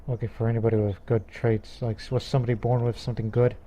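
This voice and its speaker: A man's voice: mid-range voice, flat delivery, quick pace, dark tone. A man playing a strategy game, musing aloud and speaking as his ruler, with laughing asides. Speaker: game let's player. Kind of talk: casual gameplay commentary.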